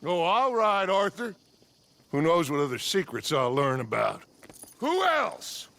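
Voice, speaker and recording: An actor playing a scheming, suspicious outlaw gang leader in a western game, talking in a theatrical, sardonic, commanding way. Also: male voice, fairly high pitch, very animated, measured pace, creaky, a bit bright, exaggerated voice.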